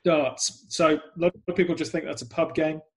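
An English man chats easily as a podcast guest, filling his sentences with "um"s and light self-deprecation. His voice sits a little higher than most men's. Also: flat delivery, normal speed, husky. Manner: self-deprecating, chatty with frequent "um"s. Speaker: guest, club PR executive. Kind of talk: casual interview chat.

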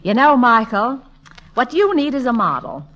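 1940s radio comedy heroine: bold lady writer in love, bright, theatrical, teasing. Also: a little high, sing-song, moderate pace. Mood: teasing, determined. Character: bold, playful woman novelist in love. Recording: dull old radio recording.

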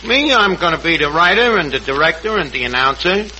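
Brash scheming barkeep, New York accent, pompous malapropisms, very bright and swooping, on a noisy old radio comedy. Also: male voice, fairly high pitch, big pitch swings, a little fast, full character voice. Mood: cocky. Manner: pompous malapropisms and slangy grammar. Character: brash, scheming tavern manager who mangles his words. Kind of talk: 1940s radio comedy acting.

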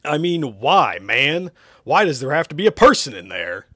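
A man's voice: mid-range voice, sing-song, brisk, no room sound, clean studio sound. A man voicing an exasperated, skeptical hero in a comic story, protesting bluntly and with disbelief to his nemesis. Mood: incredulous, irritated.

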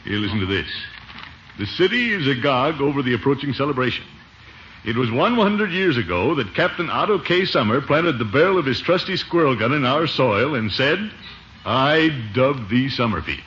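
An actor playing a pompous, vain middle-aged uncle and small-town water commissioner, in 1950s radio comedy style. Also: male voice, mid-range voice, sing-song, moderate pace, fairly bright tone. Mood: eager, self-important. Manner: pompous, self-satisfied, theatrical. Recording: dull, noisy old radio recording.

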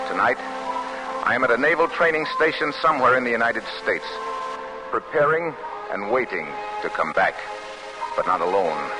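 A man's voice: mid-range voice, close to an everyday voice, low-quality recording. A man playing a weary, earnest and determined young refugee in a 1940s American radio drama. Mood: defiant. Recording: old radio recording, faint music.

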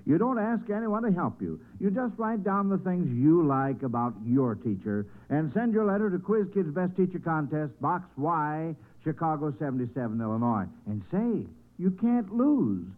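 Male quiz host, 1940s radio style, higher voice, animated, folksy, narrow tinny broadcast sound. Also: moderate pace, hardly any pauses. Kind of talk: 1940s radio quiz show hosting, addressing children. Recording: old radio broadcast, thin phone-like band.

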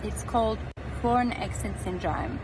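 A woman from East Texas talking to camera in a clip, with an accent that sounds mixed Australian and British, speaking in a slow, deliberate, wry way. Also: mid-range voice. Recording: distant, choppy clip with noise.

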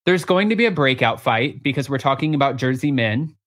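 A man's voice: mid-range voice, animated, fairly quick, fairly bright tone. A man co-hosting a gossip show, chatting casually with a sassy, dramatic, emphatic delivery. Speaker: co-host of a celebrity gossip show. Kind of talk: casual reality-TV gossip chat, reading out quotes.

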